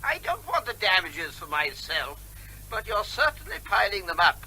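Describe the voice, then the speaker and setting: High, bright, cheeky neighbour. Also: male voice, sing-song, normal speed, a little hiss or hum, exaggerated voice, poor audio. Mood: smug teasing. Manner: breezy, pedantic, needling. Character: cheeky, evasive, mock-polite male neighbour who teases and quibbles. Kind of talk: comic radio drama acting.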